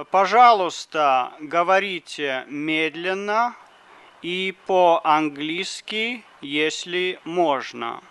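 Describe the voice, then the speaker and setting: A man slowly reading phrases aloud for a language lesson, carefully articulating each one. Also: fairly high pitch, fairly bright tone, clean tone. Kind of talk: slow phrasebook drill reading.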